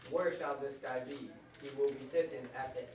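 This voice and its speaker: A man's voice: mid-range voice, steady tone, normal speed, darkish tone, close to an everyday voice. A man playing an earnest adventurer in an amateur puppet show, with a plain recited delivery. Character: Greg, earnest male adventurer hunting a worm. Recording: echoey room, distant mic, faint noise.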